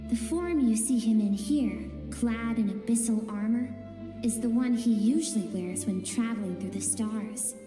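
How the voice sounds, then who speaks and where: An actress playing a calm female guide who tells things in a slow, soft, confiding way. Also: a little high, close to an everyday voice. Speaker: narrator within the game. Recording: reverberant game audio with music.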